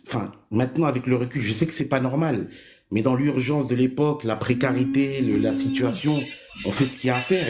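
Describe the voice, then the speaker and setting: A man raised in France telling his childhood story as a guest, halting with many restarts. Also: medium pitch, a little mellow, slight background noise. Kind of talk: personal testimony.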